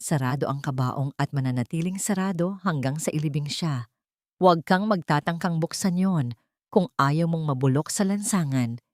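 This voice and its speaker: A woman's voice: low-pitched, normal speed, a little mellow, no room sound, studio quality, natural voice. A woman acts out a menacing older matriarch of a wealthy house. She is cold, stern and domineering, in a dryly recorded audiobook drama. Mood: threatening. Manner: stern, threatening.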